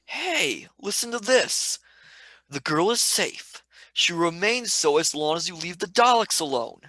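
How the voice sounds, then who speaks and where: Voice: mid-range voice, very animated, measured pace, pushed and bright, no room sound, full character voice. An actor playing a cheeky, loyal young sidekick in a radio play, blunt and colloquial in manner. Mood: alert.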